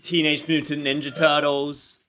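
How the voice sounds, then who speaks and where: A man with a somewhat high-pitched voice talks casually over a game on stream. He rambles from one thought to the next and tosses in joking asides. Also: little pitch movement, unhurried, pushed and bright, lo-fi sound. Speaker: livestreamer.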